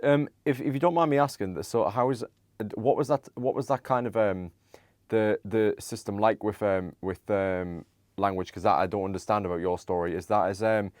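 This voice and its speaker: A young man hosting a podcast, asking long, halting questions full of "um"s and restarts. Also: fairly low pitch, fairly even intonation, darkish tone, dry recording, studio quality. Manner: halting, many "um"s and stammered restarts. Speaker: podcast host. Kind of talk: casual interview conversation.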